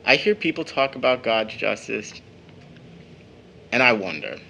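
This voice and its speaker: A man's voice: medium pitch, unhurried, gravelly, pushed and bright, slight background noise. A man acting as a proud young uncle in an audio drama, with a theatrical, formal way of talking. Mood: bitter, earnest. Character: playful, teasing young uncle, mock-pompous, proud, later embittered.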